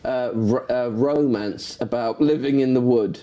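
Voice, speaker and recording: A man's voice: mid-range voice, unhurried, mellow, highs cut off, a little hiss or hum. A man who is a comedian, chatting casually, rambling with many restarts and bursts of laughter. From Northern Ireland. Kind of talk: casual chat.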